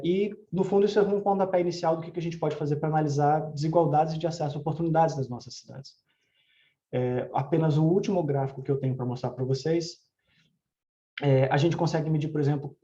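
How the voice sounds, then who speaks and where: A man's voice: on the high side, warm tone. A man with a Brazilian Portuguese accent giving an explanatory presentation as a researcher, over a video call with dull, muffled sound. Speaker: researcher presenting at a round table.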